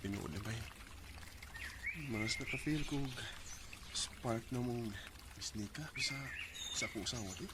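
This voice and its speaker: A Bisaya radio drama husband played by a male actor, hesitant and guilty, speaking with a Cebuano accent at an ordinary male pitch. The recording is very noisy. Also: a little slow, long pauses, close to an everyday voice. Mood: troubled, confessing. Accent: Filipino, Cebuano Bisaya. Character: married man, hesitant and guilty about an affair.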